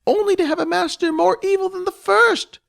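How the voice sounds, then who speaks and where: A man voicing a small, frightened female goblin who pleads and wails in a high voice. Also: fairly even intonation, brisk, gravelly, a bit bright, exaggerated voice. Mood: distraught pleading.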